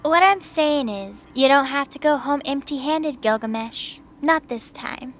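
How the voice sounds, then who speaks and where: A woman's voice: sits high, lively intonation, measured pace. A woman voicing a proud, bossy, threatening young phantom queen in an audio drama. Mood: smug, coaxing. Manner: bossy, brash, taunting. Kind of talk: audio drama character acting.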